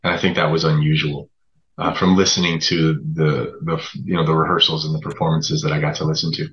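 Very deep-voiced man, slightly muffled recording. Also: little pitch movement, a little fast, a bit bright. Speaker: writer, podcast guest. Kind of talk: casual reflective discussion.

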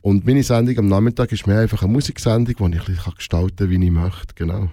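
Male radio presenter as interview guest, Swiss German accent, soft dark tone, dry sound, casual and searching delivery. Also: fairly low pitch, steady tone, fast talker, dry recording. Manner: hesitant, rephrasing often, repeating words mid-sentence. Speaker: radio host being interviewed.

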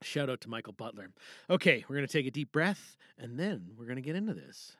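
Male host, bright tone, lively swings, showy announcer greetings, casual self-talk. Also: medium pitch, brisk, no echo, clean studio sound. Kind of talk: enthusiastic podcast introduction, casual and rambling. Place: recording booth.

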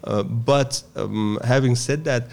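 A man from Iran, a guest on an interview, explaining his practice in a measured way with frequent pauses and fillers. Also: mid-range voice, creaky, darkish tone. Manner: measured and explanatory, with many hesitations. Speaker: guest, Persian strength and yoga teacher. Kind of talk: thoughtful interview answers.

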